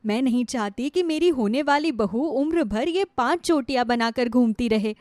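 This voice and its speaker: A woman's voice: a little high, lively intonation, moderate pace, darkish tone, no room sound, studio quality, voice pushed down, lightly acted. A woman voicing a polite but fussy, judgmental middle-aged prospective mother-in-law in a moral story cartoon. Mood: disapproving.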